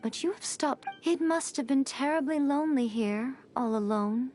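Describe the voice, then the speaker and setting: Woman acting sly, high-voiced fox spirit lady. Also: normal speed, a little mellow, slight background noise, lightly acted. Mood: pointed, concerned. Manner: teasing, theatrical, knowing. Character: sly, teasing, elegant ancient fox envoy woman. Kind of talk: dramatic video game voice acting. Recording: music behind the voice.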